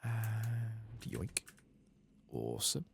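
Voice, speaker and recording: A man giving soft-spoken, sometimes whispered ASMR commentary as he plays a video game, murmuring to himself and casually swearing, close to the microphone. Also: lowish voice, very animated, drawn-out words, creaky, slight background noise. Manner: murmured running self-talk, casually sweary. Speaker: streamer playing a video game.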